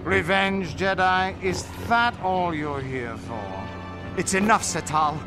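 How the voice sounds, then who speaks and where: An actor playing a vengeful, theatrical dark-side warrior in a space fantasy audio drama. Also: male voice, sits high, animated, slow, music in the background. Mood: menacing. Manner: theatrical, intense.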